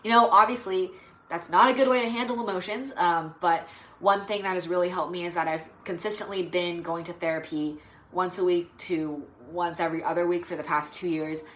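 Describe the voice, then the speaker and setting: A woman's voice: fairly low pitch, fairly even intonation, brisk, a bit bright. A young adult woman vlogging casually to the camera, rambling and hesitant with lots of fillers. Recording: roomy echo.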